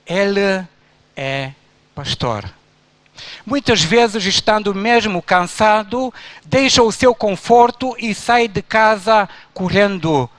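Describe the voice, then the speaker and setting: A man's voice: high voice, expressive, fairly bright tone, dry recording. A man from Romania, preaching in an earnest, rhythmic manner at a church induction service. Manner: slow, earnest, rhythmic listing. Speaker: pastor.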